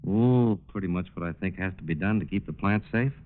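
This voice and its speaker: An actor playing an agreeable, puzzled plant safety engineer in a 1940s radio drama, folksy, plain-spoken and a little hesitant. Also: male voice, deep voice, brisk, close to an everyday voice. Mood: agreeable, surprised. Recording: tinny narrow-band old radio recording.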